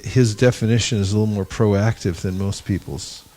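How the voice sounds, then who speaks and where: A man's voice: fairly low pitch, fairly even intonation, normal speed, mellow. A man leading a devotional class discussion, telling anecdotes with wry humor. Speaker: discussion leader. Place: gathering in India.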